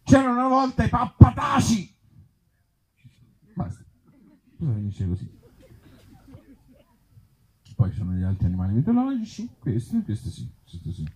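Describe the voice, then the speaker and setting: A man from Italy reading absurd short comic stories aloud to a live gathering, with deadpan humour and offhand, hesitant asides. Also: fairly high pitch, moderate pace, pauses often, slight background noise, rough recording. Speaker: author and actor reading his comic tales at a live event.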